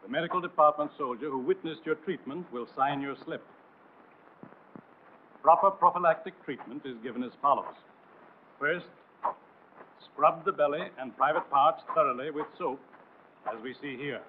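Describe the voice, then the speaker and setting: A thin, narrow-band vintage film soundtrack with light background noise carries a man's voice. He reads a 1940s military training script formally, in a somewhat high register. His delivery is didactic and authoritative, stressing instructions firmly.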